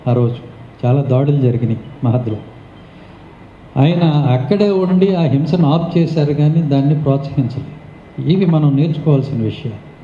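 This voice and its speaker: A man's voice: on the high side, expressive, normal speed. A man giving a public memorial speech as a guest speaker, explaining things in a conversational way. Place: meeting hall. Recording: muffled, echoey hall recording with noise.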